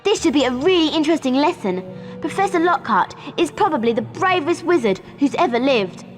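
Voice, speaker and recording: Bossy game schoolgirl, earnest and prim, high animated delivery. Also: fairly quick, faint music behind. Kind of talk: video game voice acting.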